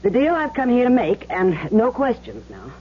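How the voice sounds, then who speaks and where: A woman's voice: fairly high pitch, wildly swooping pitch, normal speed, breathy edge, exaggerated voice. An actress playing a tough but good-hearted young woman gambler in an earnest, emphatic, slangy way for a 1970s radio drama. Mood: guarded, businesslike. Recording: muffled, hissy old radio recording with hum.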